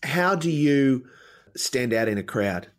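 A man's voice: medium pitch, expressive, slow pace. A man hosting a casual conversation, putting probing questions to his guest. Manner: probing questions, brief backchannel replies.

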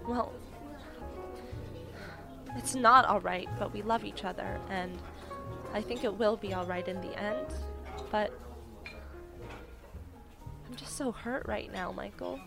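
An actress playing a gentle, indecisive city woman in an audio drama, speaking in an earnest, careful, halting way. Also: medium pitch, vocal fry. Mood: hurt, shaken. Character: gentle, hurt, indecisive city woman, werewolf's best friend. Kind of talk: audio drama acting, naturalistic. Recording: music playing behind the voice.

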